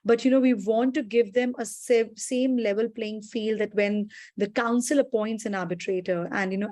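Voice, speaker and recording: Woman, registrar type, earnest and careful, mid pitch, dry close sound. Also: darkish tone, dry recording. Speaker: arbitration institution registrar. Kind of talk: panel discussion.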